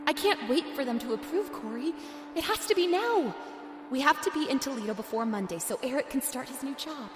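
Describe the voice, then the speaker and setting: A woman playing an anxious young twin sister in an audio drama, halting and pleading as she speaks. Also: high-pitched, expressive, slight background noise. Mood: excited, urgent. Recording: echoey reverberant hall, loud music underneath.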